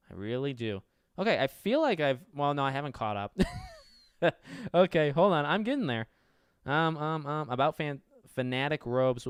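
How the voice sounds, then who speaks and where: A man with a raspy but clear, resonant voice hosts a loose livestream Q&A. He thinks out loud, corrects himself mid-sentence, and answers viewers one after another. The sound is dry and close, with no room around it. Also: medium pitch, no echo. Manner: rambling, self-correcting, answers chat questions aloud. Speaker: livestream host reading viewer questions. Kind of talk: casual livestream Q&A chat.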